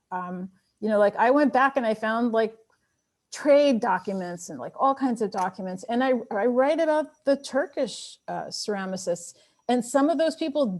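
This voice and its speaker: A woman's voice: a little high, a little mellow. A woman author who grew up speaking Armenian, answering audience questions in a thoughtful, encouraging way with light laughter. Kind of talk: online Q&A conversation.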